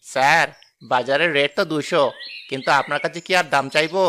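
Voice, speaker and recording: Guy voicing a greedy shopkeeper dude in a cartoon, bright sharp voice, sneery or sucking up. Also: a little high, fairly even intonation, fairly quick, a little hiss or hum. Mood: fawning. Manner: harsh to the poor, fawning to the rich. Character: greedy rude adult male lemon seller. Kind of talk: cartoon voice acting.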